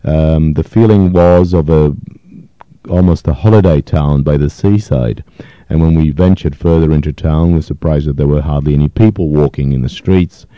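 A man in his fifties, originally from Poland and a native Yiddish speaker, recalls his past in a thoughtful, hesitant way with many pauses. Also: rumbling low, warm tone, no echo, lo-fi sound. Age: 50s. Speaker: interviewee. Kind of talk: reflective oral history interview.

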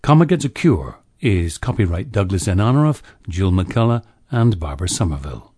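A man reading the opening credits of a recording in a measured, formal announcing manner. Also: low voice, sing-song, a little mellow, breathy edge, no room sound, studio quality. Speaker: archive announcer.

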